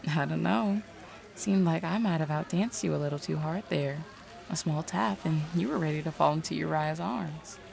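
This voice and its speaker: Woman playing a low, heavily creaky, flirty detective. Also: normal speed, a little mellow, low-quality recording. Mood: sly teasing. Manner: playful, sly, drawling endearments. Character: flirtatious, teasing woman detective and seer, self-assured. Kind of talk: audio roleplay drama acting.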